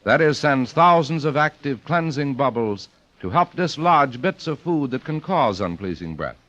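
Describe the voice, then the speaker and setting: A man's voice: mid-range voice, expressive, moderate pace, fairly bright tone. An American man announcing and reading advertisements in a polished, emphatic 1940s radio style. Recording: muffled old radio recording.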